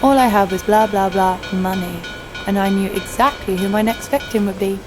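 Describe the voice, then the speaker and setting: A woman's voice: medium pitch, fairly even intonation, normal speed, over music, close to an everyday voice. An actress playing a scheming, foul-mouthed young female criminal who narrates an audio drama with sarcastic irritation. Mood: wry storytelling. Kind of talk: first-person audio drama narration and dialogue.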